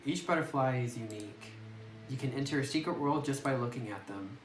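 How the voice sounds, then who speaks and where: Man reads a quiet, lonely young collector, game music under the voice. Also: mid-range voice, a little fast, close to an everyday voice. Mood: wistful. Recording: roomy distant phone recording with music.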